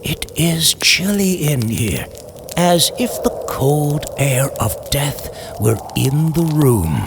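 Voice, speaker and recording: A man narrating a horror story in old-time radio style, theatrical and menacing, sometimes dropping to a hushed whisper. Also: a little high, big pitch swings, takes it slowly, noisy background.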